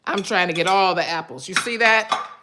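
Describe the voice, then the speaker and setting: A woman from Michigan with a naturally loud voice hosts a relaxed live stream from her home kitchen. She rambles in a chatty way, filling pauses with ums and addressing viewers in the chat directly. The sound comes through a phone camera. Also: on the low side, noisy background. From Michigan, USA.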